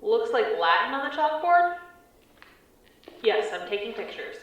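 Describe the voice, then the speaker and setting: A woman's voice: a little high, big pitch swings, measured pace. A woman playing a wary field agent in an audio drama, reporting tersely and conversationally as she explores an eerie building over a radio link. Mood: wary, observant. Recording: echoey, distant, muffled transmission.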